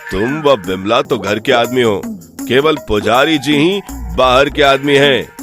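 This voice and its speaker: A man playing a stern, authoritative village headman in an animated story. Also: mid-range voice, unhurried, over music, natural voice. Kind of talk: animated Hindi storytelling dialogue.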